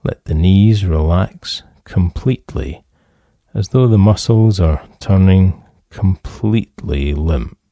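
A man leading a guided relaxation as a therapist, calm and soothing, with measured instructions and pauses. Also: low voice, no echo, clean studio sound.

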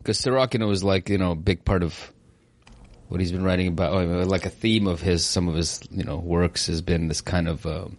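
A man's voice: sits low, steady tone, brisk, no room sound. A male podcast co-host who talks loosely, with lots of fillers. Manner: loose, filler-heavy talk. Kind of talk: casual podcast chat.

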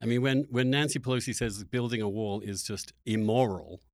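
A man's voice: medium pitch, steady tone, a little fast, a little mellow, no echo. Articulate male podcast host, dry close sound. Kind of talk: conversational podcast interview.

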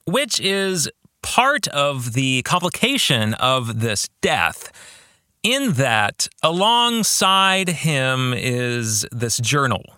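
A man in his forties hosting a podcast in a scripted monologue, with a deliberate, emphatic presenter's delivery. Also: medium pitch, very animated, pushed and bright, smooth, no echo, studio quality. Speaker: podcast host and author.

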